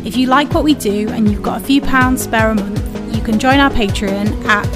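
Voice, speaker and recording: Woman reading a podcast outro, fairly high voice, loud electronic music behind her. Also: moderate pace. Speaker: podcast announcer.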